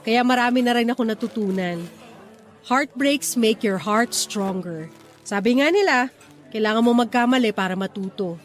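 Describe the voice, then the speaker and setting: A woman's voice: on the high side, normal speed, soft background music, natural voice. An actress playing an independent, frank young single woman in radio romance drama, speaking conversational Taglish in a reflective, assertive way. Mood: reflective, advising.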